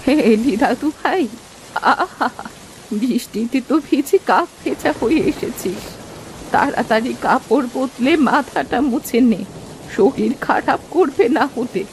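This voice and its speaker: Woman acting a high-voiced doting old village granny. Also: expressive, unhurried, slight background noise, poor audio. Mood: fussing, affectionate. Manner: affectionate scolding, homely colloquial talk. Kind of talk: cartoon voice acting. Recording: music under the voice.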